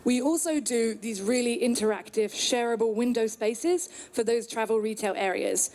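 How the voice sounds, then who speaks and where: British woman presenter, fairly high voice, upbeat, explanatory, conference talk. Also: darkish tone. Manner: upbeat, explanatory, with filler pauses. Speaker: conference speaker.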